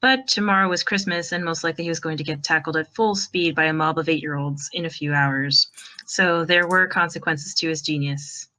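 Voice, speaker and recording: A woman narrating a fan fiction story in an expressive reading style. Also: sits low, fairly quick.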